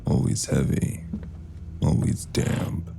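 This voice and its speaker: A man playing a brooding, mysterious noir storyteller, narrating in ominous, measured, terse phrases. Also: noisy background, natural voice. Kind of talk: slow noir scripted narration.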